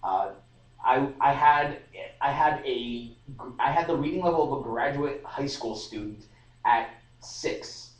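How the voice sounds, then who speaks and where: A man's voice: mid-range voice, a little slow. A young man talks loosely, restarting his sentences and leaning on fillers. His voice sits in the middle range and comes from some distance off the mic. The room gives it a hollow echo. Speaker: podcast host. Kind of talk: casual discussion. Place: room at a student society meeting. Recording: roomy live stream audio, distant mic.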